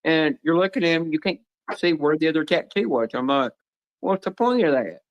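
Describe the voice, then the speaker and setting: A man chatting casually, telling stories haltingly with many pauses and ums. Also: fairly high pitch, expressive. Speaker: panel guest. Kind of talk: casual chat. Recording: dull lo-fi line.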